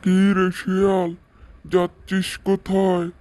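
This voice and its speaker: A man voicing a gruff but reassuring forest tiger in a fairy-tale cartoon, casual and unthreatening. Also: sits high, monotone, takes it slowly, darkish tone, no room sound, poor audio. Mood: curious.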